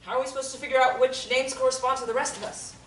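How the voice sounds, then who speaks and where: A woman's voice: high voice, fairly even intonation, racing, fairly bright tone. A woman reading the part of an anxious, skeptical therapy patient in a play. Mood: wary, curious. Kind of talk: dramatic table reading of a play. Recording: echoey room, distant mic, noise.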